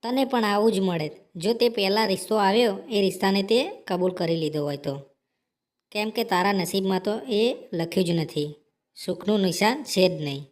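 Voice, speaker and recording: A woman voicing a hospitable, frank married sister bird in an animated moral story, chatty and full of advice. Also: medium pitch, a little fast, long reverb tail, lightly acted. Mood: matter-of-fact.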